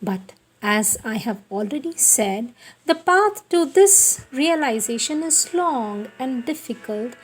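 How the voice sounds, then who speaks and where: A woman giving a devotional scripted reading of spiritual writing in a deliberate, solemn manner, repeating phrases for emphasis. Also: a little high, animated, breathy edge, low-quality recording. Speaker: reader of spiritual texts. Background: music.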